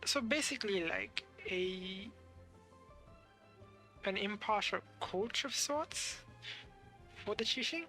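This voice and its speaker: A woman's voice: medium pitch, expressive, slow pace, long pauses, sharp tone. A young woman giving casual game commentary as a streamer, musing aloud and searching for words as she goes. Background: game music.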